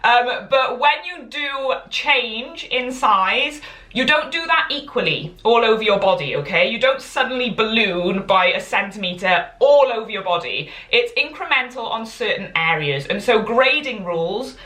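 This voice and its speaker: Bright-voiced Welsh woman teaching sewing. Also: a little high, animated, normal speed. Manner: rambling, prone to tangents; friendly and encouraging. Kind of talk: chatty live-stream tutorial. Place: home. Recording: echoey room.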